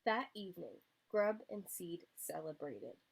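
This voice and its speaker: A woman's voice: on the low side, animated, a little slow, creaky, husky, poor audio. A woman storyteller reading a picture book aloud to young children, expressively, addressing her listeners directly.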